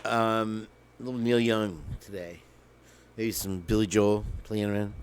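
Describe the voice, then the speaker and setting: A man's voice: lowish voice, fairly even intonation, unhurried, over loud music. Rambling man with a crackly voice. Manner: rambling, joking, sings off-key. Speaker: livestream host. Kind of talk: casual livestream chat with guitar. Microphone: headphones with mic.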